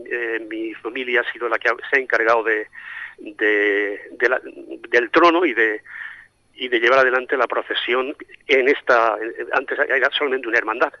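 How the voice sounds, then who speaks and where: A man's voice: mid-range voice, fairly even intonation, moderate pace, no echo. A middle-aged man from Spain with a Spanish accent, a priest answering interview questions reflectively, often hesitating and repeating as he searches for words. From Spain, raised in Madrid, born in Santomera, Murcia. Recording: dull, lo-fi phone-like link.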